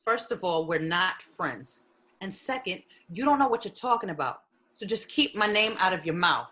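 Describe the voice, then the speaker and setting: An actress at a table read playing a proud, guarded lawyer with a curt manner. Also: female voice, on the low side, lively intonation, normal speed, pushed and bright. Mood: angry, guarded.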